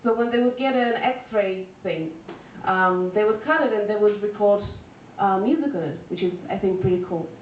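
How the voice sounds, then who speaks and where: A woman, performing a deadpan stand-up set full of fillers and restarts, recorded live at a venue. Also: medium pitch, moderate pace, a little hiss or hum. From Russia. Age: 20s. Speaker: stand-up comedian. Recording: echoey lo-fi room recording from far off.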